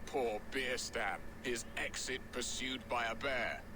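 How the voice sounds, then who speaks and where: A man's voice: medium pitch, takes it slowly. An actor playing a boisterous, florid Saxon nobleman in a video game cutscene. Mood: wry, grateful. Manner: theatrical, florid, jovial. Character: boisterous, flowery-tongued Saxon nobleman, a beleaguered lord. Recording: loud noise behind the voice.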